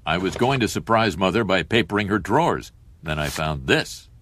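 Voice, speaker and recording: A man playing a pompous, self-pitying middle-aged school principal in an animated comedy, stiff and earnest. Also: low voice, normal speed, dry recording. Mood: bitter.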